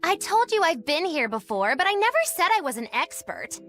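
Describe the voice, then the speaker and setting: High, swooping, flippant young witch. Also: very animated, a little fast, a bit bright. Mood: teasing, breezy. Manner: teasing, grandiose old-fashioned wording. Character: flippant, theatrical young witch and fortune teller. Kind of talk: video game voice acting.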